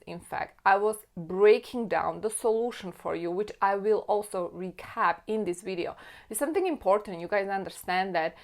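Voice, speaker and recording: A woman from Slovakia with a Slovak accent, an immigration attorney talking straight to camera and repeating her key points earnestly. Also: medium pitch. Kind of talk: explainer video to camera.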